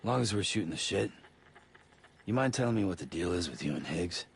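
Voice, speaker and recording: An actor playing a gruff, guarded man with a gravelly voice, skeptically questioning loyalties in a game cutscene. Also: low voice, animated, brisk. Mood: suspicious. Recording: echoey space, loud background noise.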